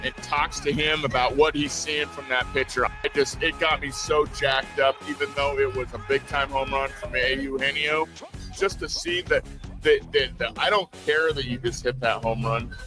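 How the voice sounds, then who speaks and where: A man's voice: medium pitch, fairly even intonation, normal speed, over music. A man giving an enthusiastic sports commentary monologue for a podcast.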